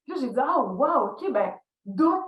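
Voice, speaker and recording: A woman's voice: high-pitched, measured pace, lo-fi sound. A middle-aged woman with a Québécois French accent, a guest telling stories about her career and acting out remembered dialogue. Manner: animated storytelling, acts out quoted dialogue. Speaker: podcast guest, leadership consultant. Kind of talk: reflective interview talk. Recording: echoey room.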